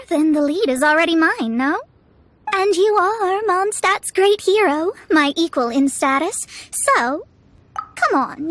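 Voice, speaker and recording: Haughty high-voiced young astrologist. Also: female voice, fairly bright tone. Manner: formal, haughty, theatrical. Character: proud young female astrologist, lofty and self-assured. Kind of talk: video game voice acting. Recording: music behind the voice.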